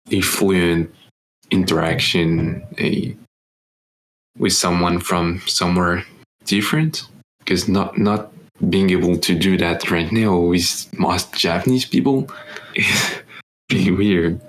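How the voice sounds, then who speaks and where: Slow-speaking young Frenchman, hesitant. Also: deep voice, fairly even intonation, fairly bright tone, a little hiss or hum, low-quality recording. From France. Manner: hesitant, searching for words. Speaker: podcast co-host. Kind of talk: casual chat.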